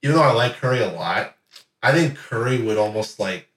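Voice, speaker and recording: A man's voice: medium pitch, fairly quick. A man talking sports casually and animatedly, swearing freely and acting out imagined quotes as he tells his stories. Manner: animated storyteller who acts out imagined quotes, profane. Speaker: podcast host. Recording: roomy echo, distant from microphone.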